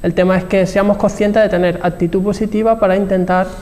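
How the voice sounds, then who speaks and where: A man's voice: high voice, flat delivery, fast talker, a little mellow. A man with a Spain Spanish accent talking as an expert guest in an interview, hesitant and often rephrasing as he goes. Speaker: guest expert, marketing professional and trainer. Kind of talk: conversational interview talk. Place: TV programme set, indoors. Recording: reverberant hall-like room, faint background noise.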